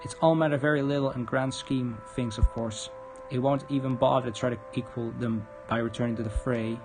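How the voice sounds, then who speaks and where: Man streaming, music clearly behind him. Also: medium pitch, steady tone, a little fast, low-quality recording. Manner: informal, stumbling over read text. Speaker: livestreamer. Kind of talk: reading visual novel narration aloud, casual commentary. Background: game music.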